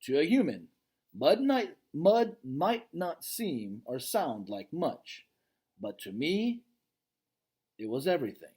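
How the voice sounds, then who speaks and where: A man voicing a gentle, weary, reluctant male silverback gorilla who tells his story reflectively in a storybook reading. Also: fairly high pitch, very animated, slow, slightly rough, heavily acted.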